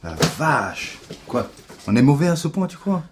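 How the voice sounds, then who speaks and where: A man's voice: fairly high pitch, big pitch swings, a little slow, very noisy. A man playing a wry, talkative, reluctant investigator in a naturalistic audio drama, mocking and grumbling. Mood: sarcastic, grumbling. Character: sarcastic, hungry, reluctant male investigator, grumbling sidekick. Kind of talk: naturalistic French audio fiction acting.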